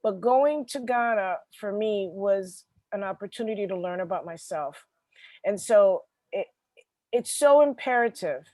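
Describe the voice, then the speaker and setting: A woman's voice: mid-range voice, lively intonation, unhurried, mellow, no echo. A woman hosting an interview podcast, talking in an agreeable, conversational way and often repeating phrases. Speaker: podcast host.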